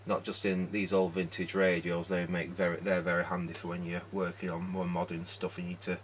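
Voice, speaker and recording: Male hobby repairer, low voice, informal step-by-step working commentary, noticeable background noise. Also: completely flat delivery, brisk. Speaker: hobbyist electronics repairer and presenter. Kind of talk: casual hands-on repair commentary.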